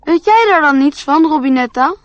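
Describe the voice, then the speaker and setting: An actress playing a dreamy, trusting young boy in a radio play, speaking in an earnest, halting way. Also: high-pitched, lively intonation, no room sound. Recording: slightly dull radio play recording.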